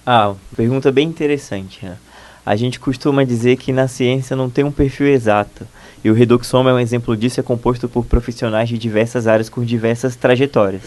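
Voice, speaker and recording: A man's voice: medium pitch, fairly even intonation, brisk, a little mellow. A man in his thirties from Bahia, Brazil, a postdoctoral researcher answering interview questions in a fluent, explanatory and enthusiastic way.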